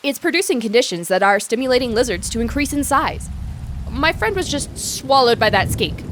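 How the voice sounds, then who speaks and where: A woman's voice: fairly high pitch, animated, fairly quick, lightly acted. A woman playing a keen, take-charge lizard researcher in an audio drama. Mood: urgent. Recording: clear background noise.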